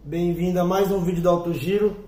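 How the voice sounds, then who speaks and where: A young hoarse man from inland São Paulo, chatting casually to viewers from his shop, filling his talk with "ó" and "galera". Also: sits high, fairly even intonation, a little fast, dark tone. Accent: Brazilian Portuguese, São Paulo interior. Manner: chatty, repeats "ó" and "galera" as fillers. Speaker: motorcycle parts shop owner. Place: small shop with shelves of parts, indoors. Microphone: far camera. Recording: echoey room, mic at a distance.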